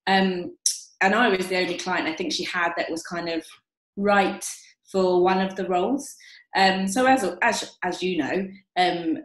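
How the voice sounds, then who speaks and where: A young actress chatting casually as an interview guest, telling anecdotes in a hesitant, self-correcting way. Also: female voice, lowish voice. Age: young adult. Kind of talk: casual panel chat, personal anecdotes. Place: at home on a remote call. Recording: roomy, echoing home recording.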